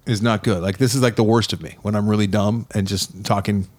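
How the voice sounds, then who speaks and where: A man's voice: fairly low pitch, steady tone, a little fast, a little mellow. A man co-hosting a casual film podcast, rambling and self-deprecating as he reviews movies.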